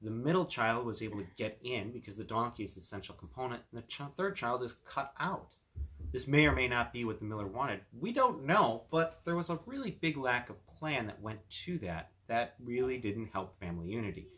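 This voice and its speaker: A man's voice: medium pitch, animated, fairly quick, a bit bright. A man reading a fairy tale and explaining its lesson in an informal, explanatory way. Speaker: attorney reading a fairy tale and giving estate tips. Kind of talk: storytelling and informal explanatory talk.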